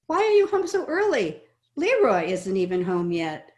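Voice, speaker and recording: A woman's voice: mid-range voice, huge pitch swings, moderate pace, a little mellow. A woman playing a pert, opinionated teenage niece in an old-time radio comedy, challenging her elders. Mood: questioning. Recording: roomy remote home recording.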